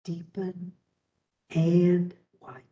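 Very slow, low-pitched woman guiding meditation. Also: completely flat delivery, warm tone, dull audio. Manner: soothing, deliberate, with long pauses. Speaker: meditation and yoga teacher. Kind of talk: guided meditation. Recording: distant microphone.

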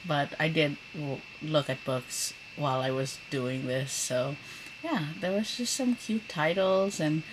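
A woman's voice: deep voice, unhurried, noisy background. A woman in her thirties chatting casually as a vlogger, in an informal, rambling way. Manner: chatty, informal, frequent use of "like". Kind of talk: casual chatty vlog talk.

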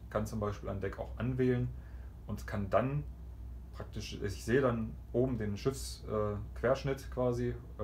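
A man's voice: on the low side, darkish tone. A man speaking off the cuff in a casual, explanatory way, filming by hand in a small indoor cabin as he shows how something works. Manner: explanatory, improvising while operating the screen. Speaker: travel vlogger presenting a cabin TV system. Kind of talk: casual unscripted walkthrough commentary. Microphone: handheld camera or phone, behind the lens. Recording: clear background room noise.

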